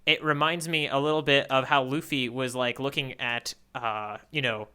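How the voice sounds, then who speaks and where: A man's voice: medium pitch, fairly even intonation, normal speed, bright, forceful tone, clean tone. A man co-hosting a podcast, chatting casually and playfully about manga, with a habit of slipping into mock voices. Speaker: podcast co-host.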